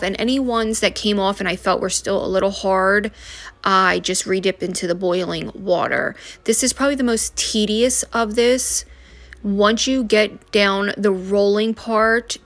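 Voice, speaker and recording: A young woman chatting casually as she walks through a family recipe step by step, with unsure little asides. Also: mid-range voice. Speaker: vlogger. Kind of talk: casual cooking vlog.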